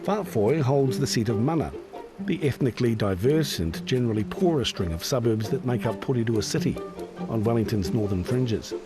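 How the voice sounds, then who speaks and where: Brief: male documentary narrator, measured and even, mid-pitched, music bed underneath. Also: poor audio. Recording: narration with clear music behind.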